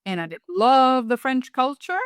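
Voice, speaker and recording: A woman's voice: high-pitched, big pitch swings, moderate pace, smooth, no echo. A middle-aged woman from Europe with a European accent, speaking as an interview guest and coach in an upbeat, emphatic, motivational way. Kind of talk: conversational interview.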